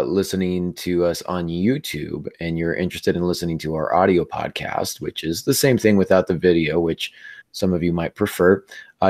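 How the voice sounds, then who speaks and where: A man hosting a writing podcast in a casual, halting way with many fillers, his voice worn down by laryngitis. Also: sits low, a little mellow. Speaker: podcast host. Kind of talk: casual podcast chat and teaching.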